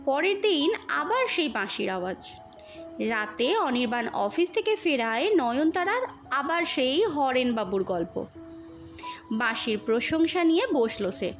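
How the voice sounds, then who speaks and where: A woman's voice: high-pitched, big pitch swings, unhurried. A woman narrating a scripted story in a dramatic storytelling style. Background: music under the voice.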